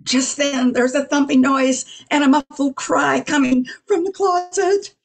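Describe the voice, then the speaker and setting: A woman's voice: high-pitched, steady tone, unhurried, vocal fry, distant mic. A woman playing the scene narrator of an old-time detective radio play, reading from a script. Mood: narrating.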